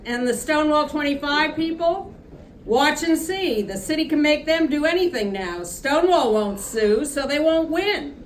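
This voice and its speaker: A woman's voice: sits high, animated, moderate pace, a little hiss or hum, pitched above the performer's own voice. A woman reading aloud, voicing a blunt woman friend who fumes while delivering bad news. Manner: blunt, fuming. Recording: echoey room from a distance.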